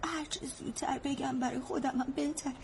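A woman's voice: sits high, fairly even intonation, creaky, darkish tone, a little hiss or hum. An actress as a tearful, guilty young woman. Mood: confessing. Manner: sobbing, halting confession. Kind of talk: radio drama acting.

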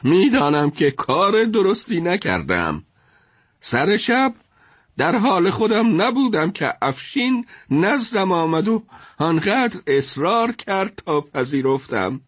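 A man's voice: high-pitched, sing-song, no echo, clean studio sound, voice pushed up. A man playing a troubled, commanding caliph in a dramatised historical story, speaking in formal, emphatic literary phrases. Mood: regretful.

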